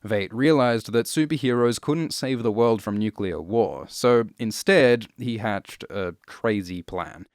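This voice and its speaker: A man's voice: lowish voice, measured pace, few pauses, no echo, clean studio sound. A man narrating a scripted video essay in a matter-of-fact, casually irreverent way.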